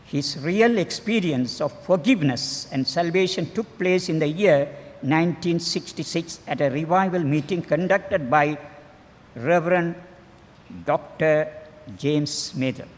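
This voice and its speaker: High-voiced older Sri Lankan man, echoing hall. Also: measured pace. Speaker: clergyman reading a tribute. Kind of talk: formal read-aloud biographical tribute. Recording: long hall reverb.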